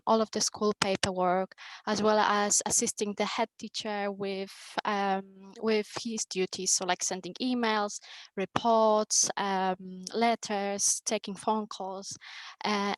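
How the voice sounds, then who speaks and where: A woman's voice: medium pitch, dry recording, poor audio. A young woman with a Polish accent, a native Polish speaker, giving a hesitant talk on a conference panel as an onboarding manager, with many ums and restarts. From Poland.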